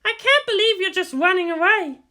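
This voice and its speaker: A man's voice: very high voice, expressive, a little fast, sharp tone, pitched above the performer's own voice, full character voice. A man voicing a fiery, indignant teenage girl with a scowling, challenging manner in an audiobook-style story. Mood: challenging, hurt.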